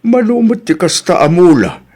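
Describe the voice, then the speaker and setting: Animated, grumbling older Ilocano husband played by a man. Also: sits high, moderate pace. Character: exasperated older Filipino husband, blunt and skeptical. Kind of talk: Ilocano comedy drama acting.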